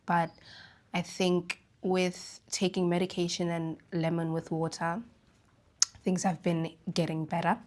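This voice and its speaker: Lowish-voiced young woman with a flu rasp. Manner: relaxed, rambling, spiritual self-talk. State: has the flu, slightly raspy throat. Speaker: podcast host. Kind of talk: casual solo chat.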